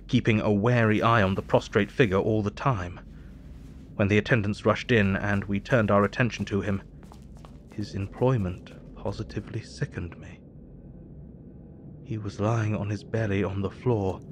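Man acting Victorian asylum physician, deepish, measured, weary, audio drama diary. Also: a little hiss or hum, lightly acted. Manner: measured, formal. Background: music.

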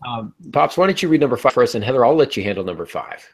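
A man's voice: medium pitch, sing-song, rapid-fire, a little hiss or hum. A middle-aged man hosting a casual writing discussion over a video call, feeling his way through his points. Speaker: podcast host and novelist. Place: small home room, on a video call.

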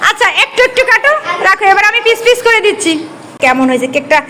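Female schoolteacher, Bangladeshi accent, very high pitch. Coaxes and instructs small children conversationally, clear background noise of a busy room. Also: sing-song, fast. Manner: coaxing, directing children, often asking questions. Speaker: schoolteacher hosting a class party. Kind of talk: casual chat with young children. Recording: noisy room with children's chatter.

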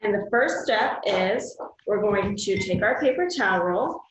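A woman's voice: mid-range voice, animated, measured pace, reverberant, background noise. An American woman in her thirties giving plain, simple step-by-step craft instructions as host of a children's video, recorded at home across a table. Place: small room at home, indoors.

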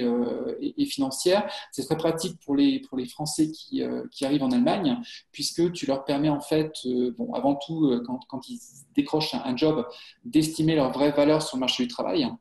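A man in his forties from France, hosting a live job-search webinar on a webcam, halting with many fillers and restarts. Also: mid-range voice, gravelly, a little mellow. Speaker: webinar host, job site co-founder. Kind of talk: hesitant live webinar talk. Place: office with a printed wall behind. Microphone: webcam on a video call. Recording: echoey room on a webcam.

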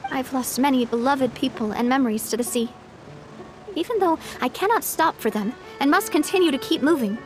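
Game heroine: high-pitched young female boss, warm, determined, wistful but bright, over music. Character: young woman leader, warm, resolute, wistful yet upbeat. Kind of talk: video game character acting.

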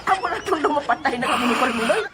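A woman playing an excitable, teasing companion in a comedic radio drama, with a Western Visayan Philippine accent and an exclamatory, giggly, scolding manner. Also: sits high, very fast, a loud crowd. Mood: excited, amused. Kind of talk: comedic radio drama acting in colloquial dialect. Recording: noisy home-made audio drama with clicks.

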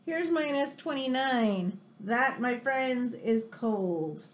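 An American woman in her thirties reading a picture book aloud like a teacher to children, expressive and exclamatory, with chatty questioning asides. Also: fairly high pitch, slow pace, slight background noise. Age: 30s. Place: room in a home, indoors.

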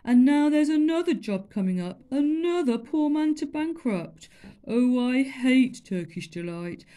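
A woman's voice: high-pitched, expressive, measured pace, dark tone, pitched above the performer's own voice. A woman doing a mournful, grandly self-pitying elephant.

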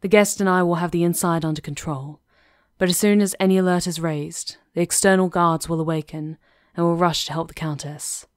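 Sly female witch conspirator for ASMR drama, acted by a woman: slightly low, deliberate, self-possessed. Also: steady tone, no echo, studio quality, close to an everyday voice. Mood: instructing. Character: composed, sly female witch conspirator plotting against a countess. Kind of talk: ASMR roleplay acting.

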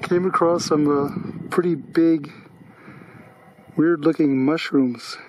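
Guy behind the camera pointing out the views, bit of a high voice, faint outdoor noise. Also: fairly even intonation, slow pace. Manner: plain, matter-of-fact pointing out of sights. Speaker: camera operator on the hike. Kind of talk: casual narration behind the camera. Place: outdoors on a mountain forest road. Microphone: camera mic, speaking from behind it.

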